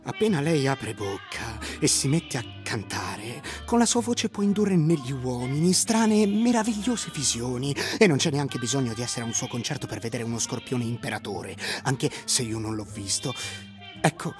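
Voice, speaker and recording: A man's voice: mid-range voice, lively intonation, moderate pace, over music, close to an everyday voice. An actor playing a flustered, fussy male shopkeeper in a theatrical radio drama, speaking emphatically. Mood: exasperated, fussy. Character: exasperated, flustered male plant-shop keeper, Steve.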